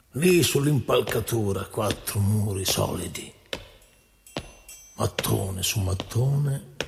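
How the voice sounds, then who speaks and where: A man reciting poetry dramatically, as in radio theatre, with measured, deliberate phrasing and pauses. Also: lowish voice, creaky, long reverb tail, background noise. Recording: voice over prominent music. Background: loud musical instrument.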